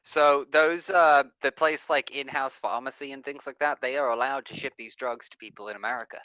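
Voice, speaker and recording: A man's voice: medium pitch, fairly even intonation, brisk, sharp tone, poor audio. A man in a heated online debate over voice chat, talking over his opponent and restarting his sentences as he argues. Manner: talks over the other side, repeats and restarts under pressure.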